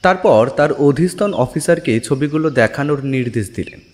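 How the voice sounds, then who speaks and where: A male storyteller reads scripted fiction dramatically. His fairly high voice moves in lively contours, carried by long reverb over music. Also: moderate pace. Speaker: audiobook narrator.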